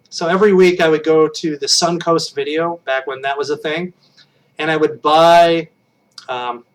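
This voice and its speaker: A man's voice: fairly high pitch, a bit bright, distant mic, poor audio. A man in his late thirties casually chatting on a live stream, rambling and self-deprecating with frequent restarts, recorded on a webcam. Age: 30s. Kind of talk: casual chat with viewers.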